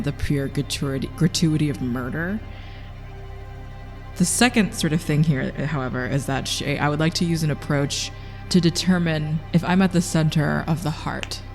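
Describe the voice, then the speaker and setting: A man with a fairly high, fry-laden voice speaks as a player in a tabletop game. He talks through his character's actions in a conversational way, over soft music.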